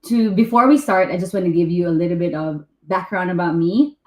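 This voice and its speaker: A woman with a Filipino accent hosts an online interview. Her voice sits a little low for a woman, and the room around her rings with clear echo. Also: brisk, darkish tone. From Philippines. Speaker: host. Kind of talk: hosting a live online interview. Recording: echoey room.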